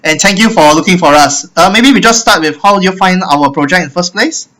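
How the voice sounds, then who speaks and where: A man with a Singaporean accent hosting a casual interview, apologetic and quick to laugh. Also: sits high, sharp tone. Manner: laughs often, friendly and apologetic. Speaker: host.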